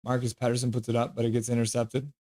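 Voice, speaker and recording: A man hosting a live hockey stream, reading chat comments aloud with loose jokes and breaking into quick play-by-play. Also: mid-range voice, monotone, darkish tone.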